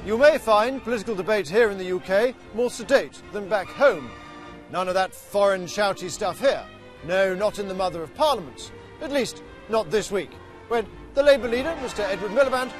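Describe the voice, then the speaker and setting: A man narrating a mock public information film in a cheery, deadpan satirical manner. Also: high voice, normal speed. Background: music.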